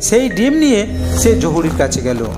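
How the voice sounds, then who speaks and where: A man's voice: high voice, sing-song, normal speed. A man narrating a scripted fairy tale as a storyteller, with music playing behind him.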